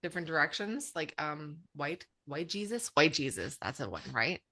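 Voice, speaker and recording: Chatty storytelling woman, lowish dry voice. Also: normal speed, no room sound. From Alberta, Canada. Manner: chatty, animated storyteller, acts out quotes. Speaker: podcast co-host. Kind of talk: casual storytelling chat.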